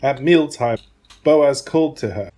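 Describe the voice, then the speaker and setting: A man's voice: mid-range voice, unhurried, mellow. A man narrating a dramatised Bible reading from a script.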